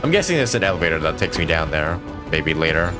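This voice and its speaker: A man giving casual live commentary on a game, rambling and thinking out loud as he plays. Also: very deep voice, big pitch swings, fast talker, over music. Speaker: game streamer.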